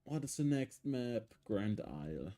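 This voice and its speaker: German-accented man, gameplay streamer, unhurried speech, thinking aloud, halting. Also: mid-range voice, sing-song, dark tone. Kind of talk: casual gameplay commentary.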